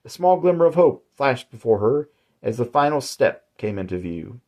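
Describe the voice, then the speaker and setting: A man narrating his own horror story in a measured, deliberate way. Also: medium pitch, big pitch swings, darkish tone. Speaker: author and narrator.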